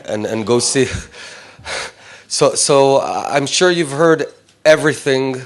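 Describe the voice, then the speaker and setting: Man, 40s, from Israel, second-language English, informal talk to visitors, halting delivery. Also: mid-range voice, animated. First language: Hebrew. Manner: hesitant, many fillers and restarts. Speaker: speaker addressing visiting group.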